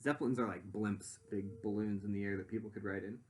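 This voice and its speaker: A man reading a picture book aloud in an expressive storytelling style, with simple explanatory asides. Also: lowish voice, a little fast. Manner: animated, dramatic emphasis, explains words simply.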